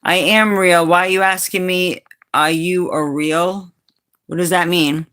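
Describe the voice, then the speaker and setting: Raspy brazilian lady chatting w her stream viewers, laughs a lot, says sweetheart n darling. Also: fairly even intonation, a little slow, a bit bright. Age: middle-aged. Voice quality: raspy, low for a woman. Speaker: livestream host. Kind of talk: casual chat answering viewers.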